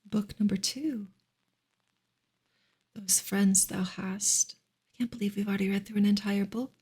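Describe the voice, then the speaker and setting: Soft, breathy woman reading in ASMR style. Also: medium pitch, steady tone, a little slow. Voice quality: soft, breathy, often dropping into a whisper. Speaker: ASMR reader and streamer.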